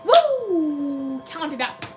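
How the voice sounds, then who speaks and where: High, robotic-sounding woman streamer. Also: drawn-out words, music in the background, low-quality recording. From United States. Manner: chatty, excitable cheering at spins. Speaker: slot machine live streamer. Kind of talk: live stream commentary reading chat.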